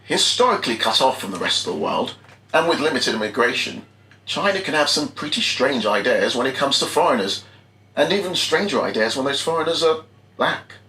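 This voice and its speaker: A man's voice: mid-range voice, big pitch swings, a little fast, pushed and bright. A man giving wry, scripted narration for a sketch video. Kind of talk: scripted documentary-style narration. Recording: roomy clip audio.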